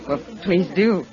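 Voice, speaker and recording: An actress playing a coy, genteel, flirtatious lady in a 1950s radio western, with a hesitant manner. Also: on the low side. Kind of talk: 1950s radio drama acting. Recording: muffled vintage radio, faint music.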